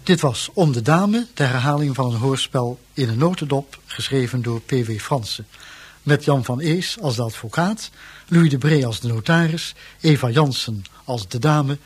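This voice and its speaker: Husky-voiced male Dutch radio announcer. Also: medium pitch, animated, moderate pace. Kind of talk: formal scripted closing credits.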